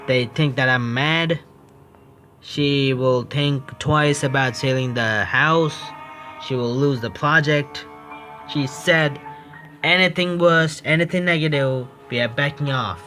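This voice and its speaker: A male streamer with reads a visual novel aloud at a medium pitch and adds his own commentary. He is halting, often restarting phrases, and faint music sits behind him. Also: fairly bright tone. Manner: halting reading with stumbles and self-corrections.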